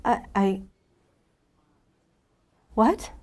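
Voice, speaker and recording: A woman's voice: medium pitch, expressive, extremely slow, a little mellow. An actress playing an earnest, determined female agent in an audio drama, pleading and pressing. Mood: flustered pleading. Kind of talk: audio fiction drama acting.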